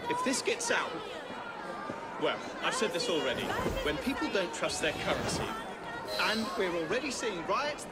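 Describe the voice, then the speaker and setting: A courteous but anxious police inspector who rambles a little and frets aloud. His voice sits a bit high and moves a lot. A loud rioting crowd and heavy noise surround him. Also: sing-song, moderate pace, over loud music. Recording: game cutscene over loud rioting crowd.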